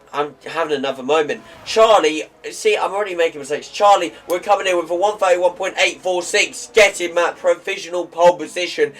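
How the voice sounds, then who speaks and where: A man with a slightly croaky voice commentating a sim racing league, chatty and rambling with fillers and self-corrections. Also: a little high. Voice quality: slightly hoarse.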